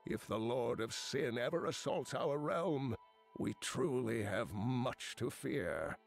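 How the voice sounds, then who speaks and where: An actor playing a grave scholar who narrates demon lore in a measured, dramatic way for a video game. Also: male voice, mid-range voice, expressive, creaky, faint music behind.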